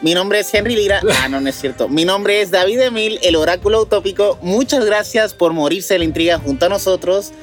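A man's voice: high-pitched, a little fast, hardly any pauses. A man with a Mexican accent co-hosting a podcast in playful, slangy, sweary banter. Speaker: podcast news co-host. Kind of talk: casual banter. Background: background music.

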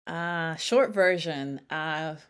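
A woman who grew up in Connecticut, a guest on a podcast, telling her story in a relaxed, chatty way with frequent fillers. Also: low voice, drawn-out words, smooth. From Connecticut, United States. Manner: animated storyteller, laughs often, many fillers. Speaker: podcast guest, music therapist. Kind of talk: casual podcast conversation.

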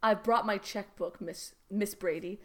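A woman's voice: mid-range voice, steady tone, a little slow, close to an everyday voice. A woman voicing a formal old male billionaire making a businesslike offer in a comic story reading.

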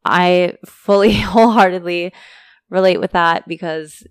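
A woman hosting a casual motivational podcast, talking chattily to her listeners while her voice is going hoarse. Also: fairly low pitch, fairly even intonation, unhurried, no room sound, studio quality. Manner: chatty, motivational, addresses listeners as you guys. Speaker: podcast host. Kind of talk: casual solo podcast talk.

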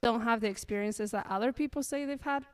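A young woman from Argentina, a guest telling her story in a relaxed, earnest way with many ums. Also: a little high, fast. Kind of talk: casual interview storytelling.

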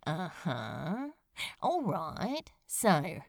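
A woman's voice: on the low side, big pitch swings, very slow, vocal fry, dry recording, exaggerated voice. A woman voicing a flustered, casual man with dry, hesitant interjections in a storybook reading. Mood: wry, flustered.

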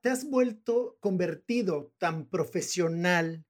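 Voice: mid-range voice, lively intonation, takes it slowly. An interview host with a northern Mexican accent, chatting casually and enthusiastically.

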